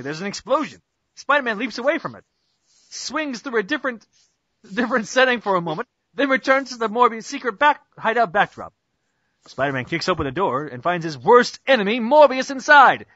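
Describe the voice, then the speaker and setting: A man's voice: sits high, sing-song, brisk, pushed and bright, dry recording. A young man giving an amateur mock-dramatic narration of a cartoon parody, hammy and stumbling over words, on a muffled internet call. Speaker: narrator in a group script reading.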